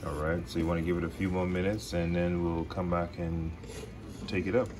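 A very deep male voice giving calm, instructive directions from off camera. The home kitchen around him adds clear background noise. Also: brisk. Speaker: father helping off camera. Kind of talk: casual coaching.

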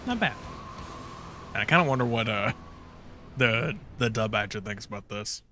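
A man hosting a reaction video with casual, off-the-cuff commentary in a halting, rambling way. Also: on the low side, animated, bright, forceful tone, slight background noise.

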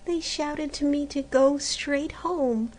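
A woman voicing timid girl Maruschka, soft and pleading. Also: sits high, slow pace, voice pushed up. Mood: protesting.

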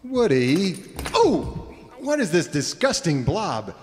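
Heroic cowboy leader, animated. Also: male voice, high voice, slow, long reverb tail, a little hiss or hum, full character voice. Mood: stoic. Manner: hearty, commanding. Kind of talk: parody of old-time radio serial acting.

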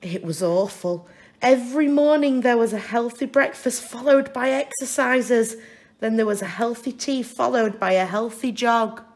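Slow, deliberate woman reading a storybook. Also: on the high side. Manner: deliberate, clearly phrased for children. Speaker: storybook reader. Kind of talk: expressive children's story narration. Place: indoors, reading a picture book at a wooden table.

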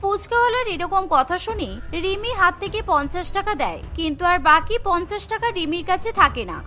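A woman narrates a children's cartoon story from a script. Her voice is extremely high and bright, set over faint music. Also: expressive, fairly quick.

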